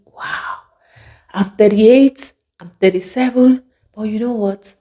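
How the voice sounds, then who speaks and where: Mellow-voiced woman giving motivational talk. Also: mid-range voice, breathy edge. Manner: emphatic, repeats phrases for effect. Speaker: video host. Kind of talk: motivational monologue to viewers.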